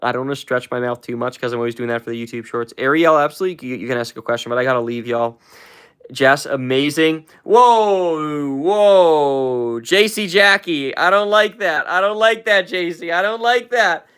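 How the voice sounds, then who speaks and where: A live stream host chatting with viewers, rambling with many fillers and repeats, and shooting way up in pitch to hype up gifts and shout out names. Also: normal speed, clear, resonant tone. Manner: hyped, shouting out viewers, jumps way up in pitch when thanking for gifts.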